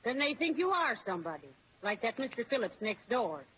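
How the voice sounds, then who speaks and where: An actress playing a bossy, well-meaning American housewife who nags insistently in an old-time radio comedy. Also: female voice, fairly high pitch, big pitch swings, brisk. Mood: insistent prodding. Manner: scolding, insistent. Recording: tinny, noisy old radio broadcast.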